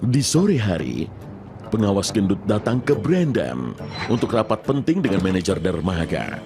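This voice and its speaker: A fairly low male narrator tells a children's story from a script. His pitch moves very widely, and music is clearly audible behind him. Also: very animated, normal speed.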